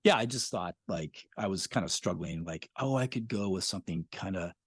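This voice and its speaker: A man's voice: low-pitched, animated, brisk, husky, dry recording. An American man chatting casually as an interview guest, with an easygoing, self-effacing storytelling way of talking. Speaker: podcast guest, audio drama creator.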